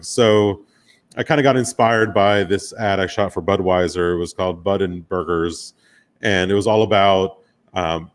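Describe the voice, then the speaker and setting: An American man from Miami giving an informal presentation about his own career, speaking in a casual, loosely phrased, conversational way. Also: sits low. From Miami, United States. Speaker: guest speaker, commercial photographer and director.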